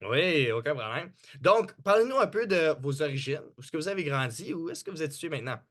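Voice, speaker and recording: A man's voice: on the high side, lively intonation, brisk, a bit bright. A man hosting a podcast, asking polite, structured interview questions. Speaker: podcast host.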